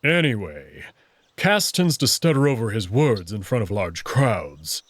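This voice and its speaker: A man playing a proud, confident wolf-man noble in a visual novel, formal and deliberate in his wording. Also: on the low side, sing-song, dry recording. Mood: assured. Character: proud, confident male wolf-man noble, playful but hot-tempered.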